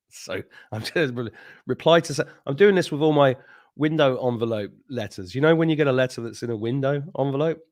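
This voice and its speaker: A middle-aged Englishman hosts a live stream in a relaxed, rambling chat. He jokes and laughs often as he goes, trailing off into loose asides, in a voice of middling pitch. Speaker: host. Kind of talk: casual live-stream chat, greeting viewers.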